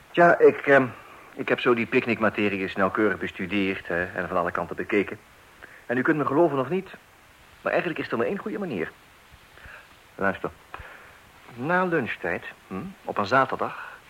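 A man's voice: fairly low pitch, a bit bright, a little hiss or hum, poor audio. An actor playing a jovial father who also narrates, chatting and speaking directly to the listener. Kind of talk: radio play acting.

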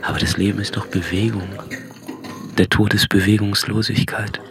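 An actor playing a melancholy, lonely last survivor, narrating a literary radio drama in a subdued, contemplative way. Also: male voice, lowish voice, level tone, moderate pace, close to an everyday voice. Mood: somber. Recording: loud music behind.

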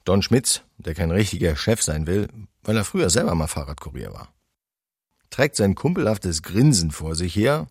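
Radio drama casting: cynical male narrator, low husky voice, dry close recording. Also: very animated, normal speed, darkish tone, dry recording, full character voice. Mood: sardonic. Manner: sardonic. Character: cynical male narrator describing courier work.

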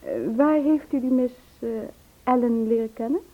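An actress playing a curt, dismissive private secretary in an old Dutch radio play. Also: female voice, sits high, normal speed. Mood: sceptical, dismissive. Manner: curt, officious. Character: brisk, dismissive female private secretary guarding her boss. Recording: tinny phone-like old radio recording.

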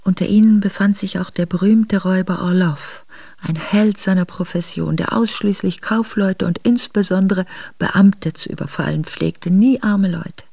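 An actress playing an earnest, reflective exiled noblewoman, narrating her memoir in a measured way for a radio drama. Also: mid-range voice, gravelly, warm tone, dry recording. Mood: grave, reflective. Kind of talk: literary first-person radio drama narration.

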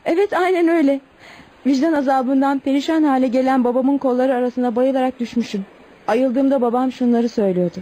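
A woman's voice: sits high, a little fast, warm tone. An actress playing a sorrowful young Eskimo woman in a radio play, with plaintive, emotive delivery. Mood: wistful, regretful. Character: sorrowful twenty-year-old Eskimo woman, pleading and recounting lost love. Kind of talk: radio theatre acting.

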